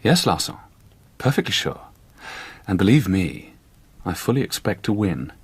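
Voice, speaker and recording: An actor playing a confident, combative detective in a radio drama, terse and assured, with a husky voice. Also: male voice, sits low, big pitch swings, normal speed, no echo, full character voice. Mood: defiant.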